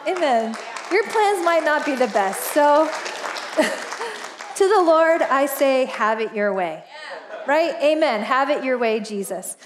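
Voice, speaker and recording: High-voiced chatty woman pastor in her forties. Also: slow pace, crowd noise, noisy background. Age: 40s. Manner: chatty and animated, laughs often, lots of fillers. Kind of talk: casual storytelling sermon. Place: church stage, large indoor auditorium.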